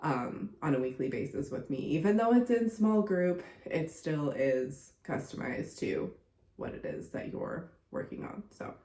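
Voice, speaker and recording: Stuffed-up young woman rambling on her podcast, deep-ish voice, lots of likes and ums. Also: animated, measured pace, warm tone, echoey room. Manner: chatty, lots of fillers like "like" and "um". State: congested from a recent illness. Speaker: podcast host and contortion coach. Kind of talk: casual solo podcast monologue.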